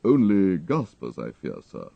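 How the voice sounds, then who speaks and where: A man voicing an unflappable English gentleman's manservant, deferential and deadpan, with formal, measured phrasing. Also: low voice, gravelly, slightly rough, no echo, highs cut off, heavily acted. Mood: deferential, composed.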